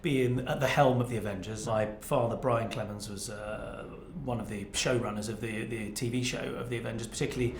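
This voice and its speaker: A man's voice: fairly low pitch, fairly even intonation, brisk, few pauses. Hesitant man in an echoey, noisy room. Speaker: producer at the helm of the series. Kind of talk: casual interview talk. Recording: echoey room with clear background noise.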